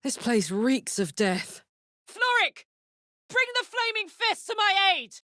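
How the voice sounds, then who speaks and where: A woman's voice: very high-pitched, huge pitch swings, slow, bright, forceful tone, no echo, clean studio sound, heavily acted. A woman voicing a brash, big-hearted, hot-tempered warrior in a fantasy video game, speaking bluntly, informally and with open emotion. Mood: grim, bitter, then fired up.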